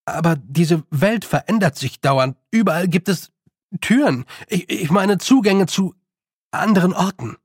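A man's voice: high voice, expressive, measured pace, slightly rough, dry recording, clean studio sound, voice pushed up, exaggerated voice. A man voicing a young boy in an audiobook, lordly but naive and nervous, with a hesitant, stammering manner. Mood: sheepish. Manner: hesitant, stammering, slightly haughty. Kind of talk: audiobook character voice.

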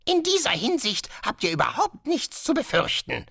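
A man voicing a calm, polite guest master who calmly announces dreadful things, in an audiobook. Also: very high-pitched, gravelly, breathy edge, no echo, clean studio sound, voice pushed up, full character voice. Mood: smoothly menacing. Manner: courteous, unhurried, casually sinister. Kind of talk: audiobook character voice.

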